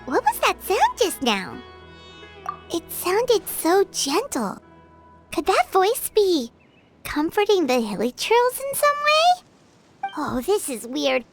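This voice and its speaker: A woman's voice: huge pitch swings, takes it slowly, pauses often, soft background music, exaggerated voice. A woman voicing a small, chirpy, curious girl companion in a video game. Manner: high, bouncy, inquisitive.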